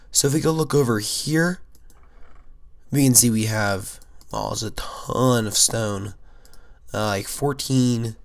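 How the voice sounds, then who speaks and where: Chatty young gamer guy. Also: mid-range voice. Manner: rambling, filler-heavy, enthusiastic. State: sick, nasal and hoarse. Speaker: Minecraft YouTuber. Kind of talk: casual gameplay commentary.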